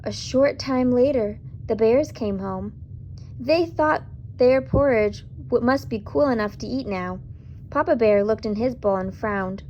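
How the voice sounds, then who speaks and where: Woman storyteller, high voice, very unhurried, expressive read-aloud for kids, slightly dull recording. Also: slight background noise. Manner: deliberate, dramatic emphasis, prompting questions. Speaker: storyteller for young children. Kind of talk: expressive children's read-aloud with asides to listeners.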